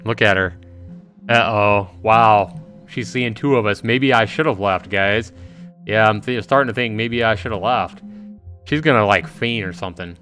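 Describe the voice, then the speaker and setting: A man's voice: fairly low pitch, moderate pace, a bit bright, faint music behind. A man hosting a game livestream, chatting informally to viewers and reacting aloud as he reads along. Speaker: livestream host. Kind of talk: casual game livestream commentary with dramatic read-aloud.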